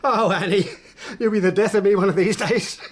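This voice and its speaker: An actor playing a secretive, volatile English husband in a radio drama, with a theatrical manner and grandiose tastes. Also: male voice, sits high, fairly even intonation, brisk. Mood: jubilant, boastful. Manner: theatrical, volatile, given to nervous laughter.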